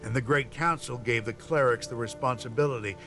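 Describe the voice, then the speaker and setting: A man's voice: medium pitch, a little fast, fairly bright tone, soft background music, natural voice. Officious male gate guard voiced by an actor. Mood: earnest, credulous. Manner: formal, earnest, recites rules. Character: officious male city gate guard, dutiful but gullible. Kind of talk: video game character dialogue.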